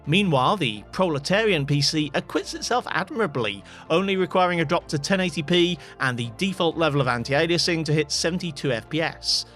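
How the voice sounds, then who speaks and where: A man reads a scripted voice-over for a tech video, his tone dry and wry. His voice sits slightly higher than most men's. Faint music plays underneath. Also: lively intonation, brisk, hardly any pauses, fairly bright tone.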